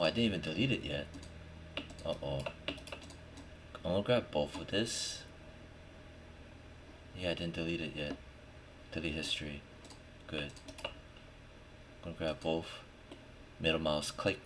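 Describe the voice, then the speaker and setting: A man with a very deep voice walks through software steps at a slow pace. He talks informally, thinking aloud and checking in as he goes. Noticeable noise, typing and mouse clicks sit behind his voice. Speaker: 3D modelling tutorial instructor. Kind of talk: casual screen-recorded software tutorial, talking while working. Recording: clearly noisy, with keyboard and mouse clicks. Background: background noise, typing and clicking.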